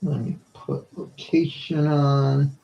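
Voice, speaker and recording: A man doing casual live storm spotter commentary for his stream, halting and repeating phrases as he addresses viewers. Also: fairly high pitch, level tone, vocal fry, highs cut off.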